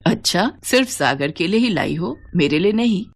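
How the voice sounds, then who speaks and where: A woman's voice: fairly low pitch, expressive, moderate pace, no room sound, clean studio sound. A woman voicing a kindly, protective older village mother in a story drama. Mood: teasing, affectionate.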